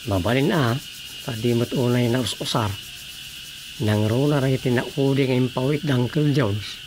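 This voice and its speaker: A man's voice: mid-range voice, normal speed, noisy background, lightly acted. A man with an Ilocano accent acting in a radio drama as a practical villager, explaining things conversationally. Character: practical man planning to sell household goods.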